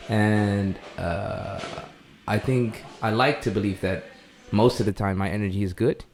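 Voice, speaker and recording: A man from Suriname who grew up in the Netherlands, talking casually as a podcast guest, haltingly and repeating words as he thinks. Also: lowish voice, fairly even intonation, vocal fry, darkish tone, slight background noise. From born in Suriname, grew up in the Netherlands. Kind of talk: casual conversational interview.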